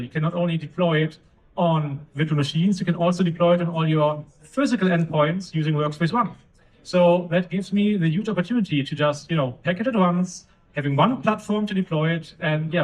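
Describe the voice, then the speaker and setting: German-accented man, high and a little distant. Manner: relaxed, filler-heavy explaining. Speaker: solutions architect. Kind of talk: conference panel talk. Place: conference stage.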